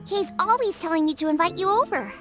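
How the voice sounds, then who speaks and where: A woman's voice: very high-pitched, fast talker, over music. A woman playing a cheerful, eager young girl chef in a video game, with a perky, earnest manner. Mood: friendly. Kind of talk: animated video game acting.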